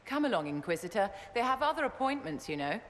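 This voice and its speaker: A woman's voice: a little high, sing-song, fast, big-room sound, a little hiss or hum. A haughty, silky noblewoman mage. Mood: condescending. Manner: condescending, silky, says "my dear".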